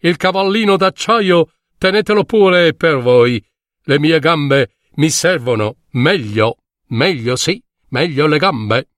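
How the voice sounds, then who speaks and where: A storybook king, acted out by a man, cautious and stubborn. He speaks slowly and regally, hesitating and repeating himself, with lively swings in pitch. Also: dry recording, studio quality. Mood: dryly smug. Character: cautious, stubborn old-fashioned king who prefers walking.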